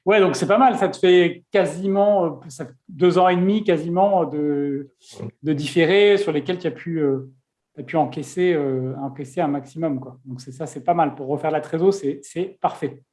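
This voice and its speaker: A man's voice: on the high side, moderate pace, darkish tone. A man hosting a casual interview, chatty, with many fillers and restarts. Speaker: host.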